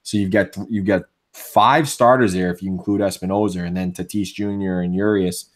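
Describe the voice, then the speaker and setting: Deep-voiced, opinionated male podcast host. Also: fairly even intonation, moderate pace. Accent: Boston. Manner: opinionated, many restarts and fillers. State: losing his voice. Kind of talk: casual sports podcast chat.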